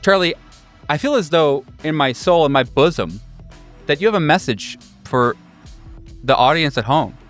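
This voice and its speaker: A man's voice: a little high, animated, normal speed, long pauses, fairly bright tone, soft background music. A man hosting a podcast with casual comic banter and a playful, mock-grandiose manner. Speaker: host steering the show.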